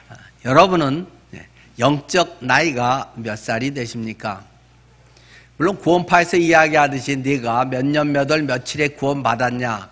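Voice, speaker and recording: A man's voice: mid-range voice, a bit bright. A man around sixty, a pastor preaching in a conversational, explanatory way with asides and rhetorical questions. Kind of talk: sermon.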